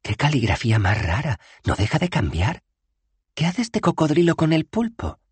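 A man's voice: fairly high pitch, big pitch swings, moderate pace, breathy edge, no echo, voice pushed up, exaggerated voice. A man voicing a bold, curious young woman.

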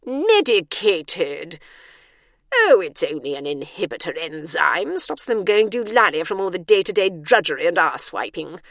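A woman's voice: on the low side, sing-song, normal speed, a bit bright, no room sound, clean studio sound. A woman voicing a haughty, officious male care-home warden who orders everyone about.

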